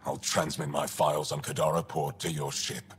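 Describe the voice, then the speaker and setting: A stern alien resistance commander from a sci-fi game. The voice is deep and very raspy, in a long reverberant space. He speaks curtly and guardedly, like an officer who dislikes being questioned. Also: flat delivery, moderate pace, heavily acted. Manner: curt, guarded. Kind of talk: dramatic video game acting.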